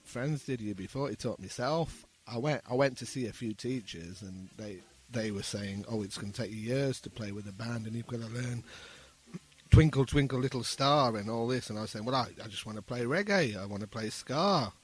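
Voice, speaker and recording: A man's voice: mid-range voice, moderate pace, breathy edge, poor audio. A man speaking casually and earnestly as an interview guest, modest and reflective.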